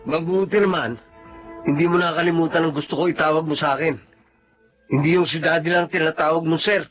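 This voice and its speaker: A man's voice: on the high side, fairly even intonation, fairly quick, a bit bright, faint music behind. A man playing a spoiled young rich son who bullies and accuses the housemaid in a domineering tone. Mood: accusing. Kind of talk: radio drama acting.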